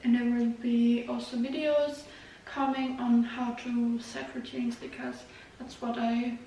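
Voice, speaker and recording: A very reverberant, distant recording of a young woman with a fairly high voice, a native Russian speaker raised in Germany. She chats informally to her viewers in a self-deprecating, vlog-style way, with a little noise in the room. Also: flat delivery, a little slow, dark tone. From Germany, moved there when very young. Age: young adult. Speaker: YouTube vlogger. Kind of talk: casual chat to viewers. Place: echoey indoor room. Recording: very echoey room, distant mic, faint noise.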